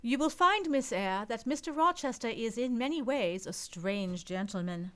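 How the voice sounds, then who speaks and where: An actress playing a proper housekeeper of a great house in a radio play, formal and emphatic in manner. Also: female voice, a little high, expressive, normal speed, close to an everyday voice. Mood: knowing, formal.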